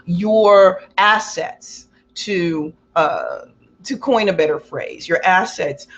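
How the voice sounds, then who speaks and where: Loud, chatty woman with a carrying voice. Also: slow, muffled recording. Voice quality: loud voice that carries. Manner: chatty, rambling, acts out quotes with high exaggerated pitch jumps. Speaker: self-help speaker, Reiki practitioner. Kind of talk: casual motivational talk to viewers.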